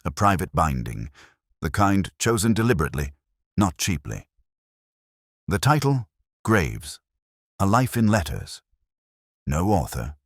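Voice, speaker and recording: Male narrator, extremely low voice, expressive rise and fall, deliberate brooding mystery read, dry close recording. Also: dry recording. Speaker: narrator, speaking as a detective. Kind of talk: scripted first-person literary mystery narration.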